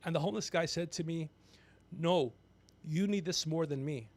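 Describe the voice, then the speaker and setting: A man hosting a livestream, rambling comedically with blunt, profane, teasing banter and a creaky voice. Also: a little high, normal speed, dry recording. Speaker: podcast livestream host.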